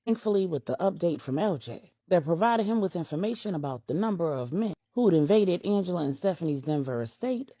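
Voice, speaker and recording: A woman's voice: on the low side, mellow, no echo. A woman narrating a scripted story as a storyteller, with a dramatic, emphatic delivery.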